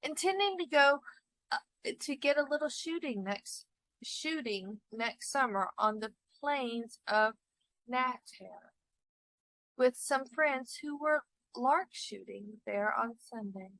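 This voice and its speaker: A woman narrating a short story expressively for students, with deliberate, dramatic emphasis. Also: high-pitched, lively intonation, a bit bright, no echo, low-quality recording.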